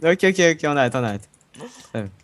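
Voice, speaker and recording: A man's voice: on the high side, big pitch swings, brisk. A young man playing a game with a friend, teasing and chuckling at his own jokes. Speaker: co-player. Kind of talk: casual gaming chat.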